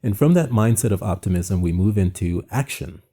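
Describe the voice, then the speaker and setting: Very deep, mellow man presenting art. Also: expressive, normal speed. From Jamaica. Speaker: art show host and founder.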